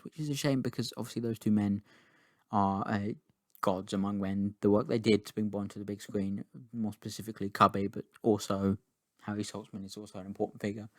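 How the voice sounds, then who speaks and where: A man talks through a film review at a brisk clip, his low voice staying flat and level. He rambles in a chatty way, restarts himself often and swears casually. The sound is dry and close. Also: no echo.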